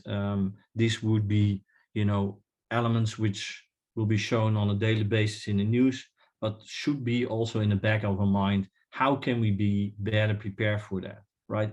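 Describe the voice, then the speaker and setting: A middle-aged man from the Netherlands with a Dutch accent, giving an earnest webinar presentation, full of fillers and restarts. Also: fairly low pitch. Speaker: webinar speaker. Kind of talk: conference presentation. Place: video call.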